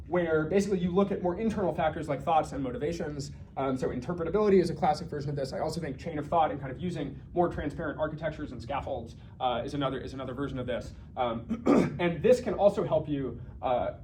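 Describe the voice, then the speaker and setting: A man delivers an informal research lecture at a brisk clip, in a slightly high voice. He thinks aloud, hesitating and rephrasing as he goes. There is some noise in the room behind him. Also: a little mellow, rough recording. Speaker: researcher giving a talk.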